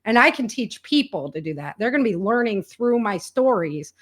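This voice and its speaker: An American woman speaks as a guest in a casual conversation. She tells stories candidly, in everyday slang, often quoting people as she goes. Her voice sits at a middle pitch. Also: animated, normal speed. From rural United States. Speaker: guest, indie author and entrepreneur.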